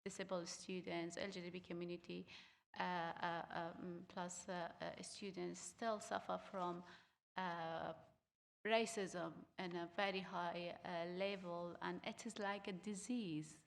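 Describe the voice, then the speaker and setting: Young woman from Afghanistan, Uzbek first language, panel speaker; lowish, gravelly voice, halting with fillers. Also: steady tone, big-room sound. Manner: halting, many fillers and restarts. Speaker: students' union equity officer. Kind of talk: panel talk on stage. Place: theatre stage.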